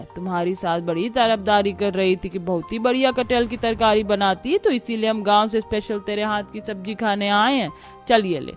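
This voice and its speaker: A woman's voice: mid-range voice, close to an everyday voice. A woman voicing a fault-finding grandmother of seventy-five in a cartoon, commanding and scolding. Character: loud, bossy 75-year-old village grandmother-in-law, fault-finding.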